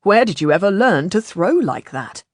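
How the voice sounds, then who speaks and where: A woman's voice: fairly low pitch, lively intonation, normal speed, dry recording, close to an everyday voice. A woman voicing a sincere, reassuring young man who leads his friends in an audiobook. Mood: earnest, admiring. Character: earnest, encouraging young man, a leader.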